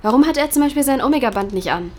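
Young grieving daughter, slightly high voice, insistent, colloquial radio-play delivery over clear background noise. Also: female voice, lively intonation, rapid-fire, a little mellow. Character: grieving, searching young daughter with exceptional hearing. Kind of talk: naturalistic audio drama dialogue.

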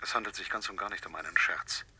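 Sharp-voiced, politely menacing anonymous caller. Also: male voice, low-pitched, breathy edge, exaggerated voice. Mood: calm, controlled. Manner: courteous, measured, deliberate. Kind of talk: German radio thriller acting.

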